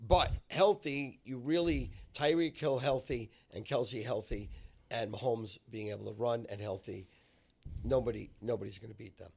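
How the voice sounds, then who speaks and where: A man's voice: medium pitch, normal speed, gravelly. An older man giving casual sports betting opinions, emphatic and often repeating himself. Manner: emphatic, repetitive.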